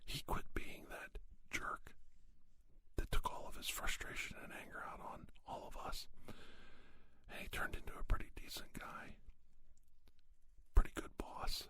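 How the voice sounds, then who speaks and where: A man's voice: a little slow, long pauses, sharp tone. Whispering man, ASMR host. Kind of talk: whispered ASMR monologue, folksy advice and anecdotes.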